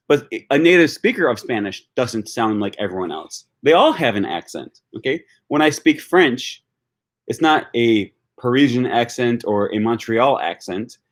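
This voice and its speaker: A man from Wisconsin with a Wisconsin accent, chatting casually on a livestream and answering viewer comments, thinking aloud with frequent fillers and restarts. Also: fairly low pitch. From Wisconsin, USA. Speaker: language-learning YouTuber answering live chat.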